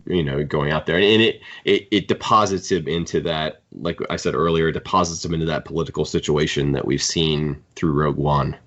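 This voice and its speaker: A man hosting a podcast, casually discussing books in a halting, opinionated fan's way. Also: a bass voice, fairly even intonation, a bit bright. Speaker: podcast host. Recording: dull lo-fi podcast audio.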